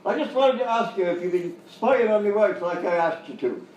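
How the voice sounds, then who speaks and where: An actor playing an excitable comic supporting man in a live radio-style sketch. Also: high voice, fairly quick, dull audio, a little hiss or hum. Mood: anxious, outraged. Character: excitable male sidekick, suitor and cuckolded police chief. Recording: distant, echoey live stage recording.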